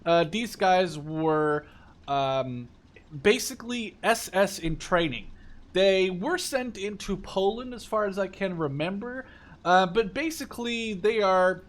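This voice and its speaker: A man's voice: high voice, expressive, takes it slowly. A man in his twenties from the Netherlands giving a casual, rambling, enthusiastic game overview with informal language. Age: 20s. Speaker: gaming video creator. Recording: clear background noise.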